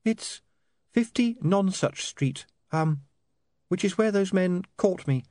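A man's voice: high-pitched, animated, slow pace, mellow, no echo, studio quality, voice pushed up. A man voicing a nervous, well-bred young woman who hesitates and stumbles over her words. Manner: nervous, hesitant, full of ums.

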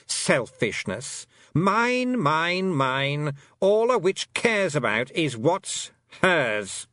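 A man voicing a haughty, cold fairy queen who talks in a silky, condescending, drawn-out way. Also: on the high side, big pitch swings, pushed and bright, dry recording, clean studio sound, voice pushed up, full character voice. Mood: mocking.

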